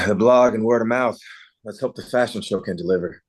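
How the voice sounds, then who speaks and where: A man's voice: lowish voice, normal speed, slightly rough. A man playing an anxious small-town event organizer in a script table read. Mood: uneasy.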